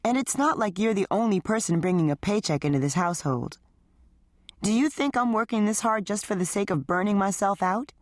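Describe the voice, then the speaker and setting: A woman's voice: mid-range voice, brisk, dry recording, studio quality, pitched above the performer's own voice, natural voice. A woman reading as a stressed, pleading wife. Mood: upset. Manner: pleading, strained. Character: stressed, exhausted wife in her thirties.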